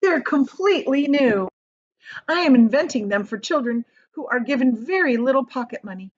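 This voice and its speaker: A woman reading aloud as an excited, proud, bossy male inventor in a storybook, with emphatic, exclamatory delivery. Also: high voice, normal speed, muffled recording, pitched above the performer's own voice.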